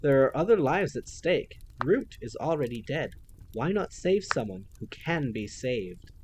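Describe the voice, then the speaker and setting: A man's voice: mid-range voice, big pitch swings, a little slow, a little hiss or hum. A man voicing a snide, childlike female villain who mocks and gloats in a theatrical sing-song. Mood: mocking.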